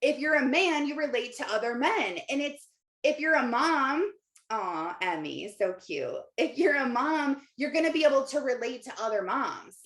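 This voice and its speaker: A woman's voice: sits high, lively intonation, normal speed, a bit bright. Woman coaching casually in an echoey room. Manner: informal, conversational, emphatic with rhetorical questions and repetition. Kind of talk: casual motivational livestream talk to viewers, reading out comments. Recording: roomy, echoey sound.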